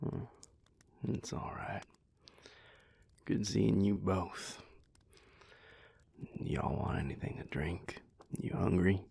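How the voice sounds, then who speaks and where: A man's voice: deep voice, takes it slowly, creaky, long pauses, breathy edge, in a whisper, exaggerated voice. A man doing a blunt, laid-back cowboy vampire. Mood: easygoing. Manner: laid-back, frank, calming. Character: easygoing male cowboy vampire, blunt and steady.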